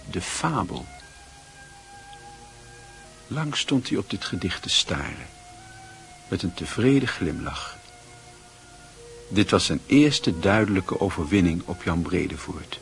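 A man narrating a literary radio play in a scripted storytelling style. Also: low-pitched.

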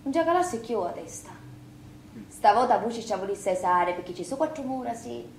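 An actress playing a bitter, weary, defiant widow at a wake in a stage drama, with a Sicilian accent. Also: female voice, a little high, lively intonation, brisk, roomy sound, slight background noise, poor audio. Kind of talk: stage drama acting in dialect.

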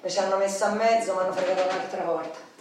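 A streetwise, hard-luck Roman woman played by an actress talks bluntly and plaintively in theatrical dialogue. Her voice is medium in pitch, distant and swimming in room echo over noise. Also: steady tone, very fast, darkish tone, natural voice. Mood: wry complaining. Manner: colloquial, blunt, resigned. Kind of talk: theatrical dialogue, Italian radio play. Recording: very echoey, distant, noisy stage recording.

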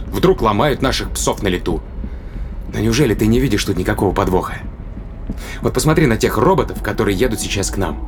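A man's voice: fairly low pitch, a little hiss or hum, close to an everyday voice. An actor playing a determined, risk-taking man in an audio drama, arguing insistently and persuasively with rhetorical questions. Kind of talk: dramatic audio play acting.

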